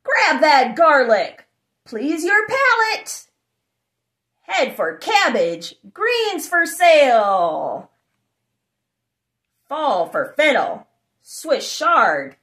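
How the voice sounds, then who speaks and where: A young adult woman reading aloud for children in an exuberant, sing-song chanting way with drawn-out words. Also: high-pitched, sharp tone. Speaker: host of a children's read-aloud channel. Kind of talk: animated children's picture-book read-aloud. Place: small furnished room indoors.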